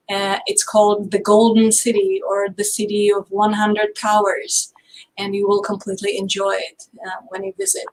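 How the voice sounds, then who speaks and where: Flat-voiced woman with a Czech accent. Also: medium pitch, slight background noise, rough recording. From Czech Republic. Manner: measured, hesitant with fillers. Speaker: guest researcher. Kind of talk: interview answers.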